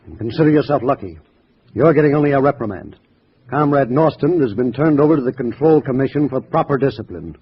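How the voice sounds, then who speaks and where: An actor playing a cold, menacing communist party boss, stern, measured and formal, in a 1950s radio drama. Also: male voice, medium pitch, expressive, slightly rough. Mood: cold, threatening. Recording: muffled vintage radio recording.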